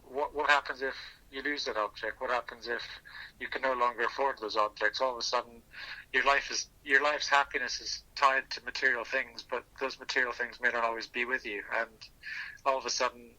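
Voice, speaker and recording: A man speaking as an interview guest, thinking aloud earnestly and reflectively, often restarting his phrases, over a phone call. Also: mid-range voice. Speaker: podcast guest. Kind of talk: reflective personal storytelling in an interview. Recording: thin, bright telephone line.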